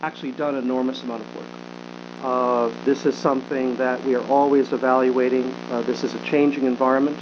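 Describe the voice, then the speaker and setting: A man speaking unscripted as a government official at a briefing, measured and halting, with frequent filled pauses. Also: medium pitch, flat delivery. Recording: dull broadcast audio with clear hum.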